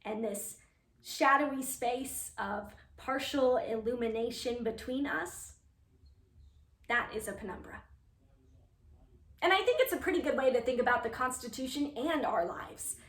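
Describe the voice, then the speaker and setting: A woman's voice: a little high, expressive, unhurried, recorded from a distance. A woman playing an earnest, eager playwright reliving her teenage speechmaking self, in a chatty, emphatic theatrical monologue addressed to an audience. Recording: echoey room.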